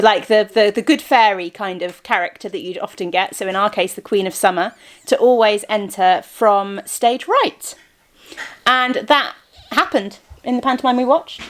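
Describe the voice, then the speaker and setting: Chatty woman vlogger explaining things. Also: on the high side. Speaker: vlog host. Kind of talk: casual family vlog chat.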